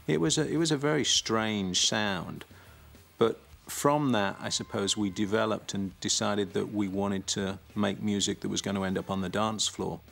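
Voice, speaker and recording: A man telling the story of his band in a documentary interview, in a measured way. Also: fairly low pitch, fairly even intonation, creaky, fairly bright tone. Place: dark studio set.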